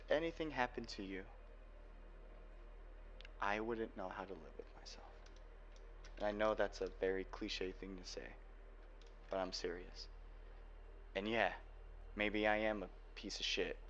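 A young man playing a guarded, protective cyberpunk hacker boyfriend in an ASMR roleplay, speaking earnestly and haltingly. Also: lowish voice, a bit bright. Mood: earnest, tender. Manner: earnest, halting delivery with sighs. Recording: dull lo-fi home recording, faint noise.

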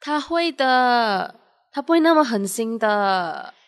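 A woman's voice: a little high, lively intonation, takes it slowly, no echo, studio quality. A woman acting a heartbroken, stubbornly loyal young woman in a radio drama. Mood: sad, stubborn. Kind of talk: radio drama narration and dialogue.

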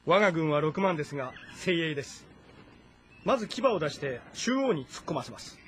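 An actor playing an earnest young general in a historical game, reporting in a respectful, orderly way. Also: male voice, a little high, sing-song, fairly quick, a bit bright, slight background noise. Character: earnest young general, warlord's son proposing tactics.

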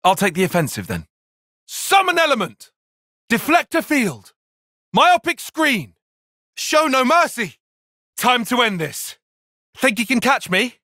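Brainy young male fighter, video game battle barks, forceful bright tone, wide swings in pitch, clipped commands. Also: wildly swooping pitch, unhurried, pauses often, no room sound, studio quality, full character voice. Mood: battle shouting. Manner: clipped, commanding short call-outs. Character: brainy young male tactician fighter, composed but intense.

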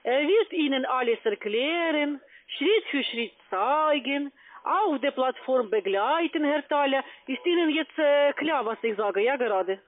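Over a tinny phone line comes a high-voiced woman with a foreign accent. She works as a call-center agent, pressing her sales pitch in non-native phrasing and checking in again and again. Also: animated, a little slow, dry recording. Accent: foreign accent in German. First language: not German. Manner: pushy, repeatedly tagging phrases with "ja".

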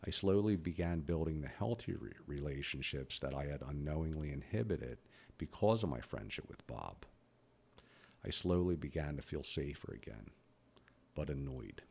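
A man's voice: a bass voice, expressive, normal speed, creaky, darkish tone, slightly rough. A man in his fifties who stutters, talking bluntly and candidly about his own life as a podcast host. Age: 50s. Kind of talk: personal reflective monologue.

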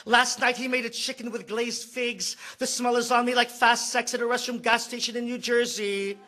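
A man in his thirties performs spoken word poetry from a stand mic on a theater stage. His voice sits high and sounds bright and forceful. He shifts from a frantic comic rant to a hushed, lyrical lament. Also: level tone, normal speed. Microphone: stand mic, far camera.

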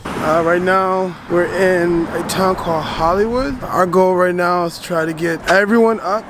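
A man's voice: high voice, unhurried. Chatty man vlogging outdoors. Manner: chatty, excitable, motivational. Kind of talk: casual vlog talk to camera. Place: outdoors at a house with a pool. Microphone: handheld camera at arm's length. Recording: noisy outdoor background.

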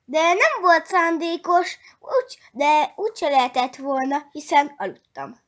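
A tiny hedgehog with a very high, childlike voice, as a woman reads it aloud. It talks timidly and gently, sometimes tripping over its words. A soft storybook creature. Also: expressive. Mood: startled, sheepish. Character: small shy sleepy hedgehog, child-like. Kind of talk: storybook character voice.

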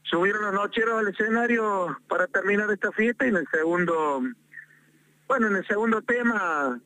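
Man, Argentine accent, high voice, insistent and aggrieved, repeats for emphasis, tinny phone line. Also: normal speed, bright, forceful tone. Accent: Argentine Spanish. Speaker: mayor calling in. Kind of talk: phone interview.

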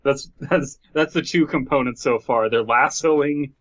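A man's voice: medium pitch, unhurried, no room sound. A man co-hosting a podcast, chatting casually and reading out headlines. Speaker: podcast co-host. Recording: dull lo-fi, dry.

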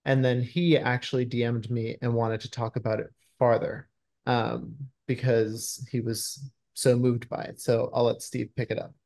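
A man's voice: mid-range voice, little pitch movement, normal speed, creaky, darkish tone. A man in his thirties talking casually as a screenwriter guest in an interview on a video call. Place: room at home, indoors.

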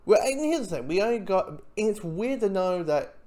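Halting, rambling casual reviewer. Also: medium pitch, lively intonation, mellow. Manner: hesitant, halting, frequent false starts.

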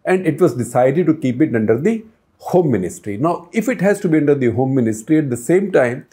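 A man's voice: on the high side, lively intonation, a little fast, warm tone. An older man hosting a news explainer, talking to camera in a conversational way and posing questions to the viewer, recorded on a lapel mic in a book-lined office.

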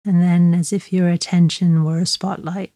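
A woman guiding a body scan meditation in a slow, measured, gently instructive way, leaving long pauses. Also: lowish voice, little pitch movement, no room sound, clean studio sound. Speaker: mindfulness meditation guide.

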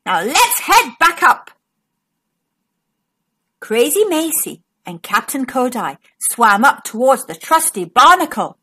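A woman narrates a picture book for young children. Her voice is high and bright, moving up and down a great deal. She reads slowly, leaning into words with dramatic emphasis. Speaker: children's storybook narrator and channel host. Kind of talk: scripted read-aloud for young children.

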